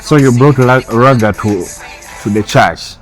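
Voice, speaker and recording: A man hosting a casual interview, with a lively introduction to the show and then short, steady prompts and agreements. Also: medium pitch, a little slow, faint music behind. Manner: lively host intro, otherwise brief affirmations. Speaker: interview host.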